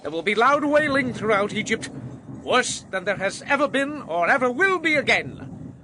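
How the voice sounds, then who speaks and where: An actor playing Moses as a bold, defiant Hebrew prophet in a dramatized audio Bible, speaking emphatically. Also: male voice, extremely high pitch, big pitch swings, normal speed, noisy background. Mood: solemn pronouncement. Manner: declamatory, emphatic.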